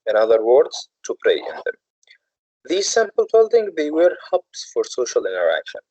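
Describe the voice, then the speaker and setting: Male teacher, English, halting lecture delivery, dry muffled call audio. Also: mid-range voice, a little mellow, no room sound. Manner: halting, many uh fillers and restarts. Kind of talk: online class lecture.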